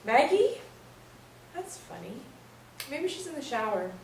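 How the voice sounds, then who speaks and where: A woman's voice: high voice, sing-song, a little slow, darkish tone, exaggerated voice. An actress playing a worried, inquisitive woman friend, calling out and questioning in a staged play reading. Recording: roomy stage, distant mic, faint noise.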